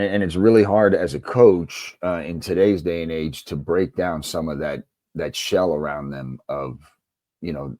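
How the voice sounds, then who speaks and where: Deep-voiced man, dark and slightly husky. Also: no room sound. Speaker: interview guest, basketball coach and author. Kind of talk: conversational interview answers.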